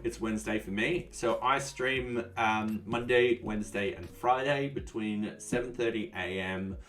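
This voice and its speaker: A man with a fairly low voice talks casually as he runs a live coding stream, answering his chat as it comes in. He sounds relaxed and tends to trail off mid-thought. He sits somewhat away from the mic, and faint music plays in the background. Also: far from the mic. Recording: voice a bit off-mic, soft music behind.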